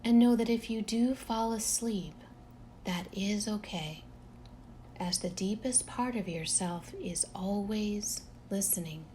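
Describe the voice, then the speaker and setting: A woman leading a guided relaxation meditation in a calm, gentle, unhurried manner, leaving long pauses between phrases. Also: mid-range voice, a little hiss or hum.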